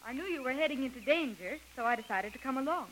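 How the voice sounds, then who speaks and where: High, bright, plucky daughter. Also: female voice, lively intonation, fast talker. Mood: breezy, determined. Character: plucky, determined young adult daughter of a missionary. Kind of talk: 1930s radio serial acting. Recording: noisy vintage radio recording.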